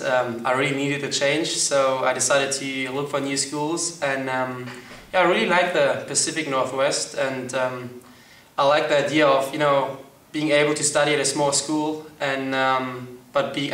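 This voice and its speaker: A man's voice: medium pitch, a bit bright. Young man, roomy and distant. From Germany. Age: young adult. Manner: hesitant, many ums. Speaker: college soccer player. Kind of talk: interview answers. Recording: roomy echo, mic far off.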